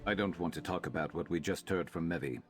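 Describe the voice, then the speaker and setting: An actor playing a gruff, world-weary lizardfolk mercenary in a video game, guarded in manner. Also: male voice, sits low, quick pace, soft background music, natural voice. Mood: guarded, resolute. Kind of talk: scripted video game dialogue.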